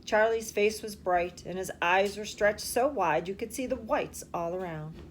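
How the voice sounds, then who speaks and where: A woman's voice: medium pitch, normal speed, a little hiss or hum. A woman teacher reading a storybook aloud expressively, speaking directly to children and asking them questions.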